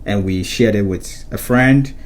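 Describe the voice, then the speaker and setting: A young man from Ghana speaks in a somewhat low voice. He explains things in a calm, easygoing way while co-hosting a relaxed chat with his wife. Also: unhurried, a little hiss or hum. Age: young adult. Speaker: co-host of a personal finance channel. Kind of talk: casual chat.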